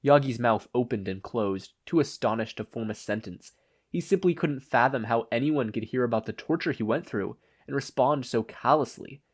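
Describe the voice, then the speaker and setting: A man narrates a story from a script. His voice sits at a medium pitch as he reads the prose aloud. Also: moderate pace. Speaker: podfic narrator.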